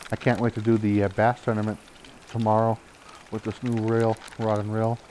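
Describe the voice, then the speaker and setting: A man's voice: on the low side, moderate pace, a little hiss or hum, poor audio. Chatty Boston-accented male streamer. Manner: chatty, excitable at good catches, laughs often. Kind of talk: casual live gameplay commentary.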